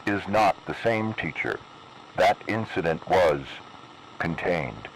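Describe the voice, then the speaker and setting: A man playing a grave, cryptic emergency announcer delivering deliberate analog horror warnings in a noisy radio-style broadcast. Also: low voice, animated. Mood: stern, foreboding.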